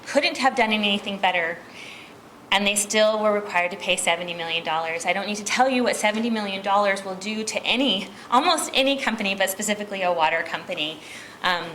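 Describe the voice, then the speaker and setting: An American woman giving an informal public presentation as a district manager, speaking in a halting, conversational way. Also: mid-range voice, pushed and bright, poor audio. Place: meeting room, speaking at a podium. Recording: public meeting recording with background noise.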